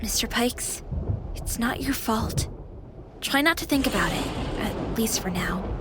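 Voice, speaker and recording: A woman voicing a playful, caring young female AI companion with an expressive manner in a video game. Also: on the high side, unhurried, heavy background noise. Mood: gentle, consoling. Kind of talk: video game character acting.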